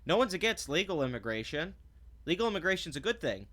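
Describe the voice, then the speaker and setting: A man's voice: a little high, big pitch swings, quick pace, fairly bright tone. A man delivering a sarcastic, blunt commentary rant, swearing freely and stumbling over restarts when he gets heated. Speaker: commentary vlogger. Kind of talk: ranting commentary.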